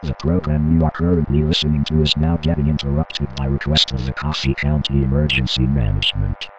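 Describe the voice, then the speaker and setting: A man's voice: very deep voice, fairly even intonation, a little fast, a little hiss or hum. A man playing a solemn official announcer who interrupts radio programs with formal scripted notices, on a muffled broadcast.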